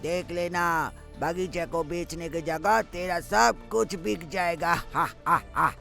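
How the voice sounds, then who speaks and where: A man playing a menacing, gloating ghost in an animated horror story, with drawn-out beckoning. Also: high-pitched, creaky, full character voice. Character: menacing ghost of a haunted orchard, threatening and gloating. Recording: music behind the voice.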